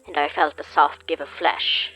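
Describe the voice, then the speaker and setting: A haunted woman narrating a horror podcast memory. Her lowish voice is bright and tense, with a radio-like sound and music underneath. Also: normal speed, heavily acted, rough recording. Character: haunted female narrator recounting a gruesome memory.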